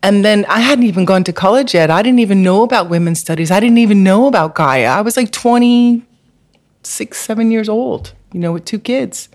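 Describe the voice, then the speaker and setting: A woman's voice: mid-range voice, moderate pace, a little mellow. A woman being interviewed as a therapist, telling a personal story in an earnest, candid, spiritual way, with many "like" and "um" fillers. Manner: frequent "like" and "um" fillers. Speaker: guest therapist.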